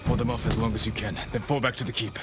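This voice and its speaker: An actor playing a grave, resolute samurai warrior in a video game cutscene, speaking in a measured, earnest, commanding way. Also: male voice, mid-range voice, fairly even intonation, lightly acted. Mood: urgent, commanding. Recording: loud music over the dialogue.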